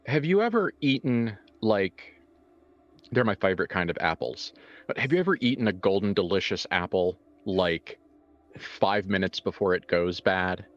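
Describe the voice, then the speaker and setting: A man with a low-pitched voice runs a horror tabletop game. He speaks informally and haltingly, feeling his way toward each description. The audio is a little dull and lo-fi, as from an online stream. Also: expressive. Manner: halting, searching for words, informal. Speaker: game master. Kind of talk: improvised horror tabletop narration.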